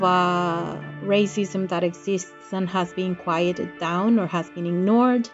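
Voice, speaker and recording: A woman's voice: medium pitch, a little mellow, muffled recording. A middle-aged woman from Costa Rica, a curator, speaking passionately and frankly in an interview. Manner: passionate, outspoken. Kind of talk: interview talk.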